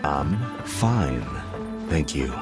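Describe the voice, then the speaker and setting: A man's voice: very deep voice, animated, very slow, over loud music, full character voice. An actor playing a stern, reserved middle-aged merchant father in an anime video game, formal and curt.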